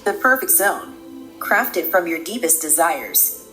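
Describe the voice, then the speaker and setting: A woman's voice: lowish voice, very animated, sharp tone, a faint crowd, heavily acted. Woman voicing a solemn simulation guide over music. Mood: enigmatic. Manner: measured, solemn, enigmatic. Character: calm, ominous female guide of a virtual simulation. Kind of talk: radio drama acting.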